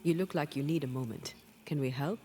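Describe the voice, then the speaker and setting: Man acting an earnest, measured ghost hunter. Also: a little high, dark tone, natural voice. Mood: concerned. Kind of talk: video game cutscene acting.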